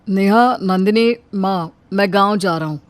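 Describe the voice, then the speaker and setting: A woman's voice: mid-range voice, measured pace, dry recording, natural voice. A woman voicing a mild, polite young husband in a cartoon story. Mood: caring. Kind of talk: Hindi cartoon story voice acting.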